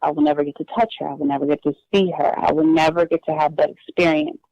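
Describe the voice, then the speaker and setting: A woman guest telling her adoption story in a personal interview, hesitant and halting, with frequent restarts. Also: deep voice, steady tone, gravelly, warm tone, dry recording. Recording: dull lo-fi dry recording.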